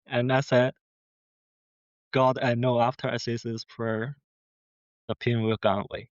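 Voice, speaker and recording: A man with a Mandarin Chinese accent, a native Chinese speaker, earnestly telling his personal story as a podcast guest in halting phrases with restarts. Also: medium pitch, little pitch movement, dry recording. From China. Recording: slightly dull lo-fi sound.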